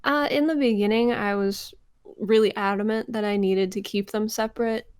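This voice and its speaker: A young adult woman chatting casually as an interview guest, informal and giggly, telling things in an animated way. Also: mid-range voice, normal speed, smooth, dry recording, studio quality. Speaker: voice actor guest.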